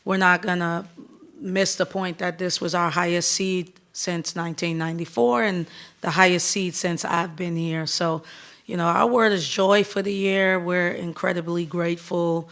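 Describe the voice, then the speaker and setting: A woman coach in her thirties from the Bahamas, answering press questions in an upbeat, candid way with quick restarts. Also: fairly low pitch, steady tone, a bit bright. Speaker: head basketball coach.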